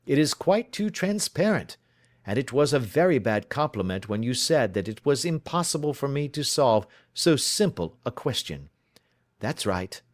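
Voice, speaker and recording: A man reading the part of a calm, sharp-witted male detective, suave and precise as he lays out his reasoning. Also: medium pitch, no room sound, clean studio sound, close to an everyday voice. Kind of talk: audiobook reading.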